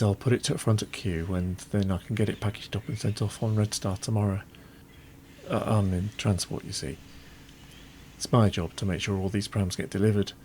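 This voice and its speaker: A blunt, chatty older Yorkshire factory man, acted by a male performer in a stage monologue. He is busy and proud of his work, with a creaky, lowish voice over a faint hum. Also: a little mellow, natural voice. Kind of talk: theatrical monologue, chatty direct address.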